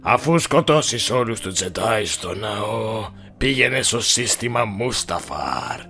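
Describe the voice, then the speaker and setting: A man voicing a manipulative old Sith master in a film fan dub, croaking and deliberate with commanding menace. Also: on the low side, lively intonation, bright, forceful tone, soft background music. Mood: commanding, scheming. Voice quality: gravelly, croaking.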